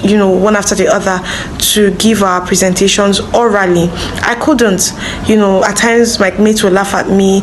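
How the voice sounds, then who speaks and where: Woman, medium pitch, personal testimony, conversational, direct address to viewer, noticeably noisy recording. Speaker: teacher, singer and stutter awareness advocate. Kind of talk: personal testimony to camera, partly read from notes.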